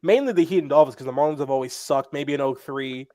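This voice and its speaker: A man's voice: medium pitch, quick pace, mellow. A man in his twenties joking in casual chat as a co-host, with self-deprecating fan banter. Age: 20s.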